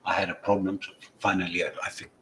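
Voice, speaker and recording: A man's voice: sits low, flat delivery, quick pace, fairly bright tone, a little hiss or hum. A man from Greece with a Greek accent, speaking English as a second language in a hesitant, apologetic way with many fillers over a video call. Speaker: guest presenter. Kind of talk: casual livestream chat.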